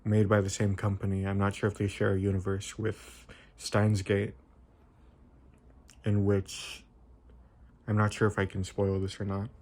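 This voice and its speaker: A young man giving an informal explanatory video essay, with halting, tentative phrasing and frequent self-corrections. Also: lowish voice, completely flat delivery, a little mellow. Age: young adult.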